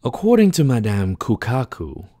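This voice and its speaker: A woman's voice: rumbling low, sing-song, normal speed, dark tone, dry recording, studio quality, exaggerated voice. A woman playing a polite, formal, needling companion in an anime fan dub, with precise diction. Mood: politely needling.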